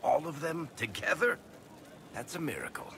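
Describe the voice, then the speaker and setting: An actor playing a wry, world-weary dwarf storyteller in a video game, with a sardonic, casual way of talking. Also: male voice, on the high side, expressive, moderate pace, vocal fry, noisy background. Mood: awed, wry.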